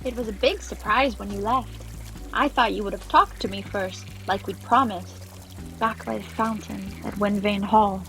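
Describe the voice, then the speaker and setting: A woman playing a bashful, insecure, emotional young adventurer in a fantasy audio drama, speaking in a halting way. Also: medium pitch, pushed and bright, soft background music, rough recording. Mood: wistful, teasing.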